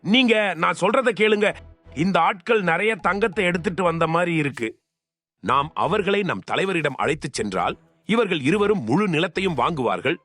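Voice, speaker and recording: A man playing a sly, greedy swindler in an animated cartoon, talking in a scheming, swaggering way. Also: high-pitched, moderate pace, faint music behind. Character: sly greedy male swindler selling temple land. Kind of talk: animated cartoon dub acting.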